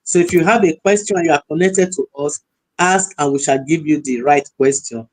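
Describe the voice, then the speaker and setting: A woman's voice: low voice, moderate pace, darkish tone, rough recording. A middle-aged woman from Nigeria, a pastor, chatting on a video call with laughs and a preacher's way of talking. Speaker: pastor and panelist. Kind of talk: casual panel chat.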